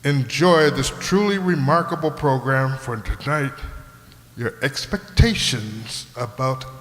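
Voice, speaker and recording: A man hosting a radio players' show, giving a scripted introduction in a deliberate, showman-like way. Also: medium pitch, fairly bright tone. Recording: echoey hall with long reverb.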